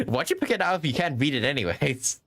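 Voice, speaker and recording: A male streamer reacts casually to a game. His pitch is very high for a man and swings widely, and his manner is brash, sweary and mock-indignant. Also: wildly swooping pitch, fairly quick, vocal fry, a bit bright. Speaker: live streamer.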